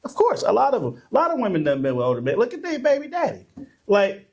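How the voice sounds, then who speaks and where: A man co-hosting a podcast in casual chat, blunt and joking, laughing loudly. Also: high-pitched, huge pitch swings, quick pace. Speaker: podcast co-host.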